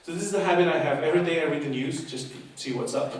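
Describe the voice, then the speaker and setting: A man's voice: on the high side, rapid-fire. A young man doing a chatty, playful stand-up set, joking with the audience and mocking himself. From half Portuguese, half Argentinian. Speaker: stand-up comedian and course leader. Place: live comedy show in a hall. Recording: echoey hall from far off, noisy. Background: audience laughter.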